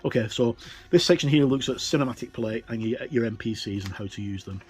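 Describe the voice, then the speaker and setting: A man's voice: mid-range voice, a little fast, faint music behind. A middle-aged man giving a casual, unscripted commentary as a reviewer, thinking aloud with hesitant, informal phrasing. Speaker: tabletop game reviewer. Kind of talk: casual commentary, talking through a rulebook.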